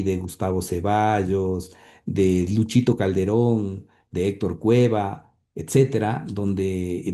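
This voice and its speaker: A man's voice: fairly low pitch, dark tone. A man giving an interview, speaking earnestly with many hesitations and repeated words, sometimes reading quotes aloud. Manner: earnest, heartfelt. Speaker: interview guest, grandson and author.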